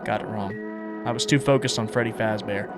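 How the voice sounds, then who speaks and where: A man doing casual video game playthrough commentary, reading prompts aloud and reacting as he thinks out loud. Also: fairly low pitch, steady tone, moderate pace, music in the background. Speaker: gaming content creator and streamer.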